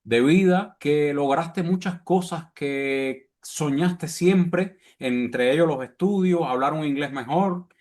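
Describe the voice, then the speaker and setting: A man's voice: on the high side, slow, hardly any pauses, darkish tone. A man in his late forties with a Cuban accent, speaking earnestly and expansively as he answers as an interview guest. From Cuba. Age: late 40s. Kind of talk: reflective conversational interview answers.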